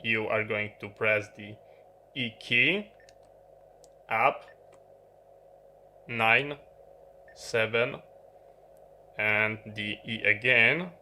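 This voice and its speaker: A man who is not a native English speaker gives an unscripted product explanation, halting and often pausing mid-sentence. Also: fairly low pitch, pushed and bright, a little hiss or hum. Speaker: video presenter.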